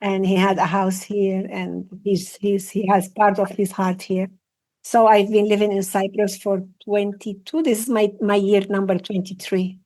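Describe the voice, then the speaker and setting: A woman's voice: medium pitch, level tone, a little slow, mellow. Sixty-something woman, Aramaic native tongue. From Iraq, raised in Venezuela. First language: Assyrian Aramaic. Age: 60s. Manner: reflective, repeats and restarts phrases. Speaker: guest, psychotherapist and breathwork trainer. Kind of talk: casual interview conversation.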